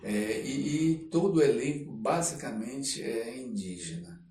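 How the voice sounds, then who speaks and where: A man's voice: mid-range voice, animated, measured pace, dark tone, a little hiss or hum. Older Brazilian man, roomy distant recording. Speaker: interview guest, actor and screenwriter. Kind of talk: casual podcast storytelling. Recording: roomy echo, distant microphone.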